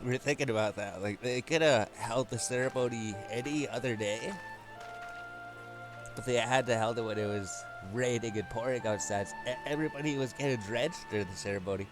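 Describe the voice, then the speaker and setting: A man's voice: mid-range voice, brisk. A man giving casual, rambling game commentary as a video host, with music behind him. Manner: rambling, repeats himself, often clears throat.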